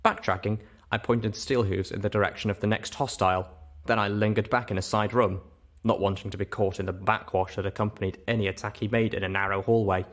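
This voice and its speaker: A man's voice: lowish voice, steady tone, brisk. A man narrating a first-person story in audiobook style.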